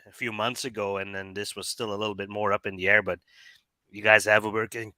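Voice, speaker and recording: A man hosting an interview who asks questions and encourages the guest, with a voice he says is going hoarse. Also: on the low side, flat delivery, brisk, sharp tone, no room sound. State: losing his voice. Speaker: host. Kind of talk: casual interview.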